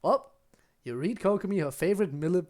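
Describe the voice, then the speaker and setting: A woman's voice: on the low side, animated, brisk, a little mellow. A woman giving casual, wry commentary as she plays through a game. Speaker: game streamer.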